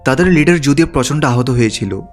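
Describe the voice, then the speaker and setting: A man's voice: mid-range voice, lively intonation, a little fast. A man reading scripted narration that retells a film's story as a recap narrator. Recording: background music under the voice. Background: music.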